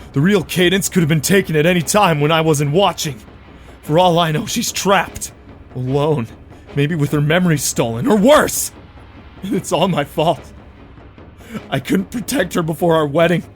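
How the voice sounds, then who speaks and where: Man as a high-voiced paranoid pony prince. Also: expressive, normal speed, natural voice. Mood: despairing. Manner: suspicious, overwrought, dramatic. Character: paranoid, frightened, defiant pony prince husband. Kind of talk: animated fan drama acting. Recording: music under the voice.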